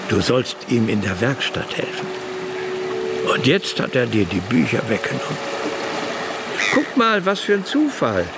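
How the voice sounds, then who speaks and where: A man's voice: medium pitch, sing-song, unhurried, creaky, long pauses. An actor playing a teasing, kindly man who talks coaxingly to a small boy in a radio drama. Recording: noisy outdoor-like ambience behind.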